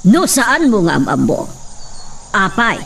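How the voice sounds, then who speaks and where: A woman's voice: medium pitch, big pitch swings, unhurried, vocal fry, a bit bright, full character voice. Woman playing an imperious landowner lady. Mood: accusing. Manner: imperious, accusing. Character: haughty wealthy landowner woman, interrogating. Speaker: voice actor in radio drama. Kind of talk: radio drama acting. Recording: distant mic with faint noise.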